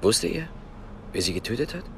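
Casting: wary East German detective, lowish voice, terse and probing, radio drama with faint background noise. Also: male voice, very animated, fairly quick, gravelly. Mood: probing, puzzled.

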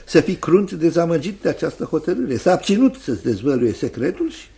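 An older guardian in a radio play. His voice sits fairly high and is full of creak, with lively swoops. He is fussy and kindly, choosing words with care. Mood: hesitant, deliberate. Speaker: radio drama actor. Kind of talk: Romanian radio theatre acting.

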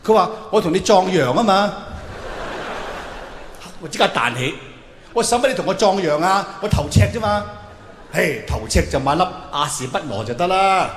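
High-pitched Hong Kong Cantonese man, echoing hall. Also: animated, normal speed, long pauses. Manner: theatrical, exclamatory, acting out dialogue. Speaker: stand-up comedian. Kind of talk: live stand-up storytelling to an audience. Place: large hall with live audience. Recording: echoey hall with noticeable reverb, faint noise.

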